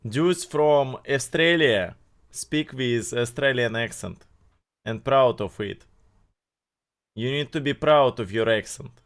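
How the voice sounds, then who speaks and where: Male streamer, non-native English, unhurried, clear tone, lots of "uh". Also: mid-range voice. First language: not English. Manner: halting non-native English, many fillers. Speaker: streamer reacting to videos. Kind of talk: casual live-stream commentary.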